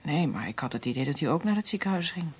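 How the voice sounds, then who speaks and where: An actress playing a stunned, grieving daughter in a radio drama, with a breathy voice. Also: female voice, low voice, expressive, fast talker, exaggerated voice. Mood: subdued.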